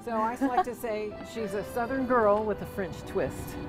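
A woman's voice: a little high, moderate pace. A middle-aged woman who owns a charter business, speaking in an on-camera interview outdoors. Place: outdoors at a marina dock. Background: music.

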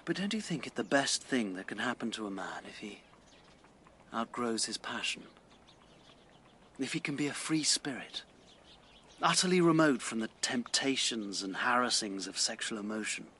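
An actor playing a proud, melancholy, impoverished Victorian writer in a radio drama, with an earnest, literary English delivery. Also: male voice, mid-range voice, animated, normal speed, bright, forceful tone, slight background noise. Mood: wistful, brooding. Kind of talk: BBC radio drama acting.

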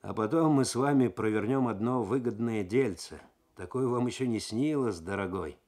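A man voicing a sly, cool-headed swindler in a radio story, speaking quietly and smoothly with an insinuating manner. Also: medium pitch, moderate pace, lightly acted. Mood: sly, insistent.

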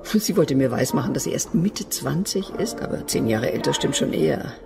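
A woman's voice: extremely low pitch, animated, normal speed, vocal fry, music in the background, exaggerated voice. An actress playing an offhand, dismissive woman with a gossipy streak in a German radio crime drama. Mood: offhand, brusque.

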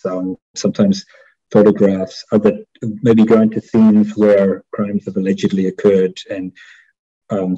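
A middle-aged man with a New Zealand accent, explaining as an interview guest in a measured, hesitant way over a headset on a video call. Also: on the low side, mellow, slightly rough. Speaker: interview guest, war crimes prosecutor. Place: small indoor room at home. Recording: dull video-call audio.

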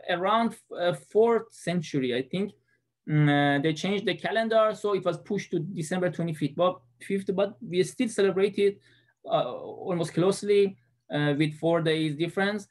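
Man, 30s, Persian accent, student presenter recorded on webcam; halting delivery with frequent fillers. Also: high-pitched. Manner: hesitant, many fillers and restarts. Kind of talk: class presentation lecture.

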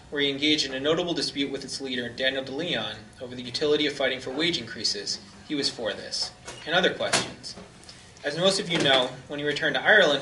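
A middle-aged man chairing a forum, introducing the speaker in a halting, filler-heavy way from a podium. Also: medium pitch. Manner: hesitant, many fillers. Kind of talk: informal talk introduction. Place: community hall, indoors. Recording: echoey hall, far from the camera mic, faint noise.

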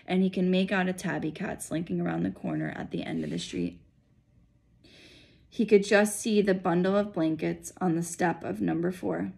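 A woman's voice: on the low side, creaky, darkish tone. An American woman in her thirties reading a storybook aloud as narrator. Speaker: school principal reading aloud. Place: small furnished room indoors. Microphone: phone or laptop at arm's length.

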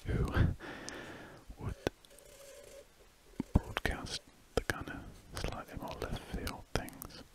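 A British man whispering breathily in a rambling, hesitant ASMR style, with trailing pauses. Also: rough recording. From United Kingdom. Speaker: ASMR artist narrating while drawing. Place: indoors at a desk, drawing. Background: pen scratching on paper.

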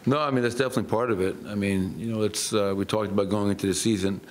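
Middle-aged male head coach, very low flat voice, measured post-game answers, faint hiss behind. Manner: measured, matter-of-fact, many fillers. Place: press room.

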